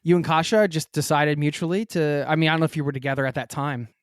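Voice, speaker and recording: A podcast host from Pennsylvania talks in an easygoing way and winds through long questions full of restarts. His voice is medium-pitched, and the recording is dry and close, with no room sound. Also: steady tone, quick pace, no room sound. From Pennsylvania, United States. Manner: rambling, searching for words, many restarts. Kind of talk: casual interview chat.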